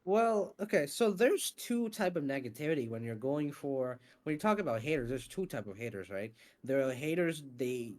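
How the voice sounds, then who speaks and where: Animated young man in casual chat. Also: on the high side, normal speed, hardly any pauses. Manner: animated, swears freely, many fillers and restarts. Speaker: interview guest, voice actor and scriptwriter.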